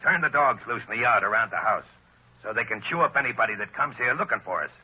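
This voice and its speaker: An actor playing a tough gangster on the run who talks in a gruff, bossy way, in a 1930s radio drama. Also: male voice, medium pitch, animated, fast, pushed and bright, full character voice. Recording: muffled old radio recording.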